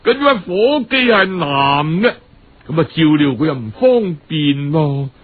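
A man's voice: fairly high pitch, big pitch swings, phone-quality sound. A man with a Cantonese accent telling a story in a dramatic, colloquial radio audiobook style. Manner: dramatic, colloquial, with drawn-out phrase-end particles.

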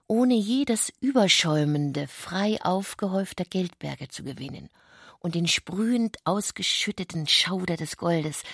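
A woman's voice: on the low side, husky, no room sound, clean studio sound. A woman reading literary prose as the narrator of a radio drama, in a scripted literary style.

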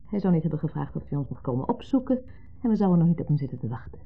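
An actress playing a patient, mildly exasperated wife in a 1970s radio play, reassuring and matter-of-fact. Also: female voice, deep voice, big pitch swings, rapid-fire, breathy edge, exaggerated voice. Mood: soothing, insistent. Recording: muffled narrow-band 1970s radio recording.

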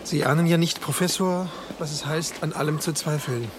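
Higher-pitched, earnest young lawyer played by a man. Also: fairly even intonation, normal speed, background noise, natural voice. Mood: earnest, troubled. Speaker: actor in radio drama. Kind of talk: German radio play acting.

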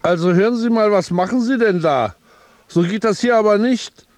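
An actor playing a brusque, officious landlord in a radio drama. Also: male voice, sits high, normal speed, a little mellow, dry recording, lightly acted. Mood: irritated.

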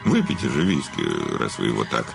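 An actor in a radio play playing a vain, cynical famous writer and confessed killer, with a theatrical, sardonic manner. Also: male voice, rumbling low, wildly swooping pitch, moderate pace, creaky, over music, heavily acted. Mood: sardonic, boastful.

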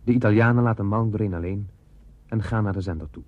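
An actor playing a sober announcer who narrates a disaster reconstruction in a 1960s radio play. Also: male voice, on the low side, quick pace, dry recording, lo-fi sound, close to an everyday voice. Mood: sober, factual.

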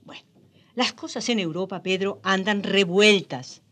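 A woman's voice: mid-range voice, a little slow, fairly bright tone. An actress playing a pious, scolding middle-aged Spanish wife and mother in a radio drama. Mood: earnest, pious.

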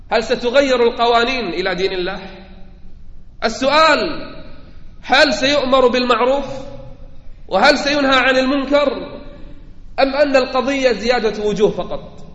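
A man preaches a fervent sermon in a high-pitched voice. His delivery is declamatory and rhetorical. The recording is slightly dull, with strong echo and a long reverberant tail from a large hall. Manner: fervent oratory, swinging from declamatory calls to quiet asides. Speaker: preacher giving a Friday sermon. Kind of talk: impassioned Islamic sermon, rhetorical questions.

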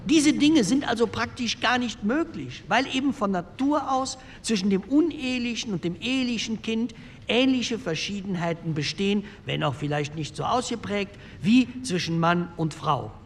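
A conservative postwar deputy with a distinctly high male voice. He lectures formally and insistently in a reverberant hall with faint noise. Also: expressive, moderate pace. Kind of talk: re-enacted parliamentary speech.

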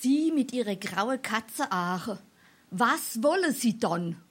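A saucy, high-voiced Hessian waitress. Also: female voice, lively intonation, slow pace, breathy edge, heavily acted. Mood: scornful. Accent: South Hessian, Darmstadt dialect. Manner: snappy, scornful. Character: saucy, sharp-tongued tavern waitress, unimpressed. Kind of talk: dialect stage comedy acting.

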